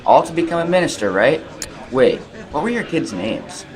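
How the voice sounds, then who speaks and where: Man playing a curious café pal, very animated. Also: fairly high pitch, normal speed. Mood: surprised, curious. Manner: reacts with wide-eyed questions. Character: curious, friendly male café worker, an old pal. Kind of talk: amateur radio play acting.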